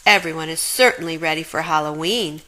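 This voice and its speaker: Storybook little girl, acted by a woman: plucky yet a bit nervous, bright voice, earnest delivery. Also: deep voice, fairly quick, low-quality recording. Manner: exclaiming, sometimes hesitant and stammering. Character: plucky but nervous little girl trick-or-treating with dog.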